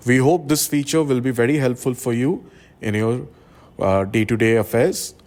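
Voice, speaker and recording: A man presenting an instructional software tutorial, explaining things step by step. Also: medium pitch, normal speed.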